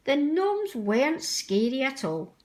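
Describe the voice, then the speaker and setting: A woman's voice: high voice, big pitch swings, slow pace, darkish tone. A woman narrating a children's storybook aloud. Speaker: storybook reader.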